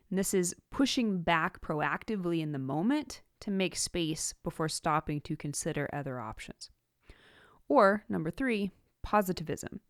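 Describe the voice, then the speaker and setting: Low-voiced woman narrating instructional tips. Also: no room sound, studio quality. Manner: measured, enumerating points clearly. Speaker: podcast host giving writing tips.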